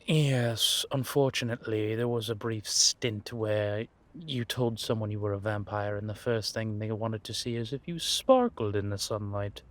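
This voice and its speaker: A man playing a world-weary, wry and menacing vampire intelligence operative in an audio roleplay, confiding in a measured, drawn-out way. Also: lowish voice, close to an everyday voice. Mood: wry, amused. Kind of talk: roleplay audio drama monologue addressed to a listener.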